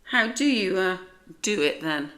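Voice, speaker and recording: A woman voicing a big, clumsy, friendly male dog in a storybook, speaking haltingly and unsurely. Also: medium pitch, lively intonation, fairly bright tone. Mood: unsure, hopeful. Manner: hesitant, bumbling, halting with uhs.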